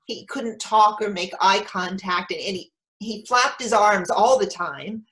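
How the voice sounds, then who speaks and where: A woman playing an anxious, overeager mother of a teenager in an animated theatrical monologue, breaking into quoted dialogue. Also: medium pitch, measured pace, natural voice. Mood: hopeful, nervous. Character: anxious, overeager mother of a teenage son, telling her story. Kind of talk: theatrical monologue, staged reading.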